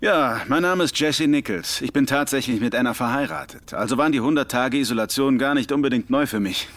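A man plays a calm male astronaut and psychiatrist in a radio drama. His voice is middling in pitch, with dry humour and a dry, close studio sound. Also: a little fast, dry recording, lightly acted. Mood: calm, wry.

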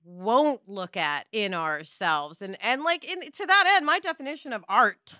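Animated, bright-voiced American woman. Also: a little high, unhurried, no echo, clean studio sound. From New York City. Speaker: podcast panelist. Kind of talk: casual conversational discussion.

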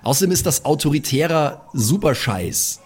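A man's voice: a little high, very animated, normal speed, darkish tone, breathy edge. A man bantering casually as a tabletop role-playing player, sarcastic and brash with slangy wording.

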